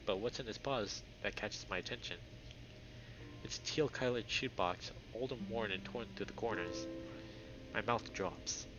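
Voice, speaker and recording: A man's voice: on the low side, pushed and bright. A man live-streaming a visual novel, reading it aloud and chatting casually with viewers. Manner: chatty, greets viewers by name. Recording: dull lo-fi microphone, faint noise.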